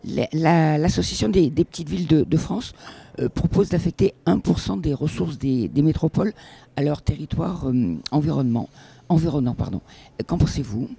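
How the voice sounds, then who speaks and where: A man interviewing someone, asking questions in a halting, hesitant way with repeated words. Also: fairly high pitch, animated, creaky, dark tone, slightly rough.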